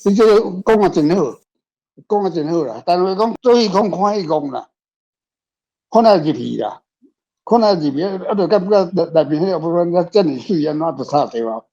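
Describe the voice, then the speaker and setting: A man's voice: high-pitched, lively intonation, moderate pace, mellow. A man with a Taiwanese accent answering casually as a guest author in a panel discussion, rambling and repeating his words. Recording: dull, lo-fi sound.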